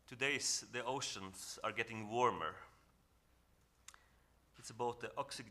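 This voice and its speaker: A man from northern Norway with a Norwegian accent gives an earnest, reflective talk on a panel, often pausing and restarting as he searches for English words. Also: mid-range voice, sharp tone. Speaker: panel speaker. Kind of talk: reflective presentation at a symposium.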